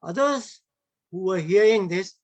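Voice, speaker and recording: Man, Indian English accent, online lecture, emphatic, rhetorical tag questions, slightly high voice, slow delivery, dry close sound. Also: lively intonation, dry recording. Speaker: lecturer at an online heritage camp.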